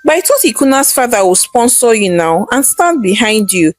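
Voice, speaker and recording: A woman's voice: on the high side, sing-song, moderate pace, dry recording. A woman storyteller voicing an eager, ambitious young wife in a folktale. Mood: eager, anxious. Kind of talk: storybook character dialogue.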